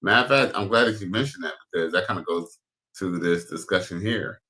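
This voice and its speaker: A man with a very deep baritone giving loud, crude, slangy reaction commentary as host. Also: brisk.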